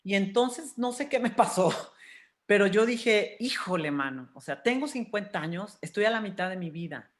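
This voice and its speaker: A woman's voice: mid-range voice, expressive, moderate pace. A woman in her fifties with a Mexican accent, telling personal stories candidly and expressively as an interview guest. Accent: Mexican Spanish. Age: 50s. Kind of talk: candid personal storytelling in conversation.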